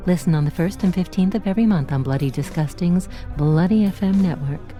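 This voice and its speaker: Woman doing a gloating killer hotel, very low voice, horror podcast promo, music behind. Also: brisk, dark tone, lightly acted. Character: sinister hotel that kills its guests, female voice.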